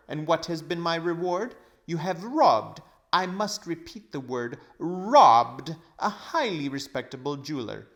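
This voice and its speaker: A man reading a storybook, voicing a pompous, cowardly older gentleman who fusses wordily in theatrical outrage. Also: sits high, voice pushed up, full character voice. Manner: fussy, wordy, theatrical outrage. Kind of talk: storybook character voice.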